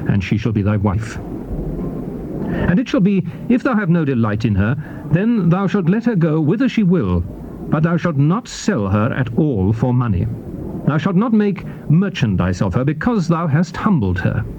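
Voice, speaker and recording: British man solemnly reading scripture, pitch swooping expressively. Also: sing-song. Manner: measured, formal, declamatory delivery. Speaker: scripture narrator. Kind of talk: solemn dramatized Bible reading. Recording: dull noisy old cassette transfer.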